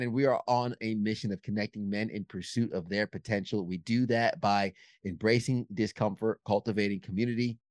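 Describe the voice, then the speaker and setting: A man's voice: fairly low pitch, a little mellow, dry recording. A man hosting a podcast interview, talking in an earnest, upbeat way with some restarts. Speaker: podcast host.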